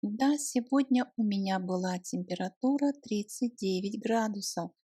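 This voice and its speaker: A woman narrating a language lesson, reading short scripted phrases deliberately and separating the words for learners. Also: medium pitch, warm tone, slightly rough, dry recording, studio quality.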